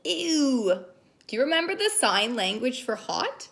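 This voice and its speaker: A woman reading stories aloud to young children in an expressive, sing-song way, prompting them as she goes. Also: fairly high pitch, moderate pace. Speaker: children's story reader and caregiver.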